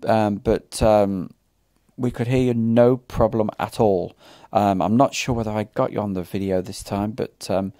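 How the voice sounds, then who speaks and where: A man's voice: fairly low pitch, fairly even intonation, measured pace, mellow, no room sound, studio quality. A man hosting a radio hobby net, casually recapping contacts and reading out call signs while thanking callers.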